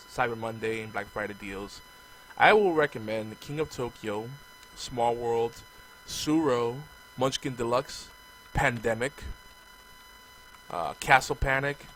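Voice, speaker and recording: A man's voice: mid-range voice, a little slow, fairly bright tone, slight background noise, poor audio. Man losing his voice, casual gamer chat. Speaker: podcast co-host.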